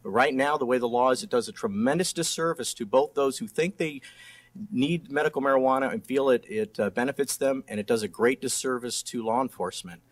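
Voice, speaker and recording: A man's voice: medium pitch, a little fast. A middle-aged man speaking as a candidate and district attorney in a debate, plain-spoken and often restarting his phrases. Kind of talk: political debate speech.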